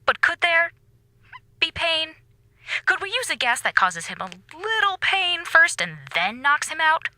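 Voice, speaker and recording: An actress playing a stern, exasperated space station commander in a comic radio drama, speaking in a crisp, dry, authoritative way. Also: female voice, extremely high pitch, big pitch swings, unhurried, sharp tone, roomy sound, heavily acted. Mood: coolly vindictive. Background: music.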